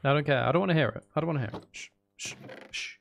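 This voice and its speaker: A man with a gravelly voice giving casual, rambling, sweary commentary as he thinks aloud while playing a video game. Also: a little high, racing, a little hiss or hum. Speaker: gaming video host.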